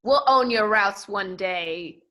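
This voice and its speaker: A woman's voice: medium pitch, takes it slowly, sharp tone. A woman playing a brash, aggrieved monster newsboy in an improvised comedy sketch, blustering and emphatic. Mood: defiant.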